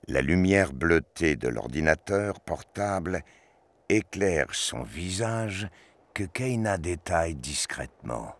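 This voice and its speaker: A man's voice: low-pitched, expressive, slow, a bit bright, no room sound. A man narrating an audio drama as a scripted storyteller. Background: music.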